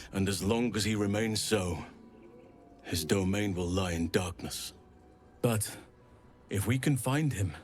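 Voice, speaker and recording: A man playing a solemn, earnest druid guardian in a video game, speaking in a measured way. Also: fairly low pitch. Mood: earnest resolve. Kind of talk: video game character dialogue.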